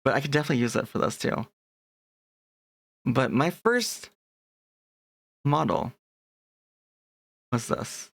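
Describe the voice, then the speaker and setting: A man's voice: mid-range voice, big pitch swings, slow, creaky, long pauses, fairly bright tone, no echo. A young man chatting casually on a live stream, rambling and restarting his sentences as he greets his chat.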